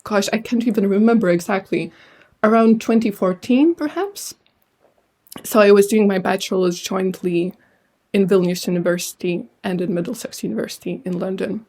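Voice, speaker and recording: Young woman guest, mellow tone, hesitant and reflective, remote interview voice. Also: mid-range voice, moderate pace. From Lithuania. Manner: thoughtful, hesitant with many fillers. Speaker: translator and writer, guest. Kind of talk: casual interview answers.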